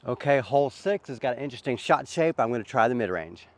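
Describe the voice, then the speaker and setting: A man's voice: mid-range voice, expressive, fast talker. A man with a sore throat from a cold, chatting casually as host of a disc golf vlog on an outdoor course. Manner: easygoing, chatty, frequent uhs.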